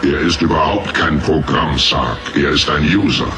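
Deep male voice, menacing master computer, commanding, threatening, radio drama, clear background music. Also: moderate pace. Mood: cold, commanding. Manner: imperious, threatening. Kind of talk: German radio play acting. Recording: radio play over loud music.

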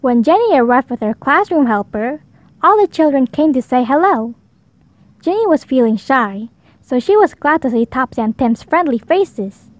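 A woman's voice: high voice, sing-song, normal speed, no room sound. A woman narrating a children's story as a read-aloud storybook reader.

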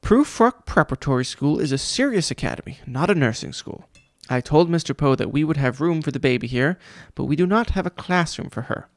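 A man puts on a vain, cruel school vice principal for a storybook. He talks down to children in a mocking, self-important way. The voice has a gravelly creak and a dry, close sound. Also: fairly high pitch, expressive, fairly quick, few pauses, no echo. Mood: pompous, scolding. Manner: condescending, mocking.

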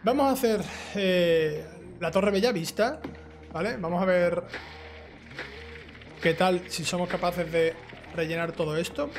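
Young male gaming YouTuber, Spain accent, high voice, informal chatty delivery, faint game music underneath. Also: unhurried, long pauses. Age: young adult. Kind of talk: casual let's play commentary, reading game dialogue aloud. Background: video game music.

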